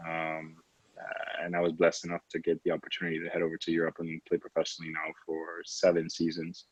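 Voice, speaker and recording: A man's voice: a bass voice, completely flat delivery, a little fast, breathy edge. A man in his late twenties from Trinidad and Tobago, talking conversationally and reflectively as an interview guest, often saying "you know". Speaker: podcast guest, professional basketball player. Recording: roomy, slightly dull lo-fi audio.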